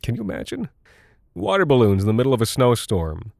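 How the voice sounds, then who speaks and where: An actor playing a rattled, folksy American cargo pilot who talks in a halting, confiding way, like a personal audio log in a horror drama. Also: male voice, medium pitch, very animated, gravelly, darkish tone, no echo, studio quality. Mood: wistful, drowsy. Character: weary, rattled male military cargo pilot, chatty and folksy.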